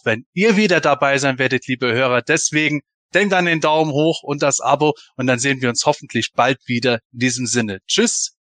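A man's voice: medium pitch, fairly bright tone, no room sound. A man hosting a casual fan podcast, talking through his opinions in a discussion. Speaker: podcast host.

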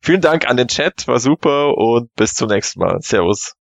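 A man chatting casually as a guest on a podcast, with a halting, hesitant way of talking. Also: medium pitch, animated, creaky, dry recording.

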